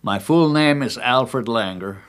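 A man in his eighties from Poland, recalling his early life in a measured, reflective way during an oral history interview at his home. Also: mid-range voice, a bit bright. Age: 80s.

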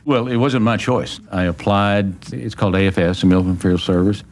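Texan guy, real deep voice, plain spoken and measured, telling his life story in an interview. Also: steady tone, darkish tone. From small-town Texas, United States. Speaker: interview guest. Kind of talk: reflective personal recollection in an interview.

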